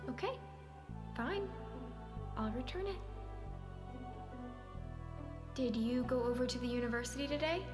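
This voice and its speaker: A woman's voice: sits high, lively intonation, a little fast. A woman acting a caring, persistent character who coaxes and probes in naturalistic stage-play dialogue, sometimes hesitantly. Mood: hurt, placating. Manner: coaxing, probing, hesitant at times. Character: Sonia, caring, persistent woman nudging a withdrawn man. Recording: roomy sound with music behind.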